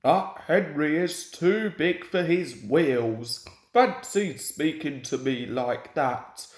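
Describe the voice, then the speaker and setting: A pompous old-fashioned storybook steam engine, acted out by a man, full of grumbling indignation. His pitch leaps about as he complains at an unhurried pace. The room rings around him. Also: animated. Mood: indignant. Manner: indignant, self-important. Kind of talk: children's storybook character voice. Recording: roomy home recording with echo.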